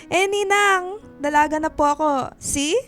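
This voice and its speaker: Woman acting giggly, very high-pitched teenage girl. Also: measured pace, fairly bright tone, faint music behind. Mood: giggly teasing. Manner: playful, teasing, exclaiming. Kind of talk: Filipino radio drama acting.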